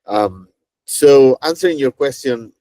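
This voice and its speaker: Man, middle-aged, halting interview guest, dry close mic. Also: mid-range voice, warm tone, no echo. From Chile. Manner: halting, many fillers and restarts, storytelling. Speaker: NASA engineer, guest. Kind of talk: conversational interview answers.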